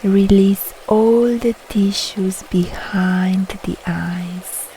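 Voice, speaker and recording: A woman guiding a yoga nidra relaxation meditation, speaking in soft, soothing, unhurried short phrases with long pauses. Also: mid-range voice, steady tone, a little hiss or hum, poor audio. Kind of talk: slow guided relaxation meditation.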